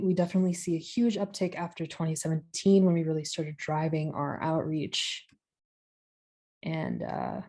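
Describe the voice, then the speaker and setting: A woman's voice: fairly low pitch, mellow. A young woman giving a student capstone presentation on a video call, hesitant at times, with fillers and self-corrections. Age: young adult.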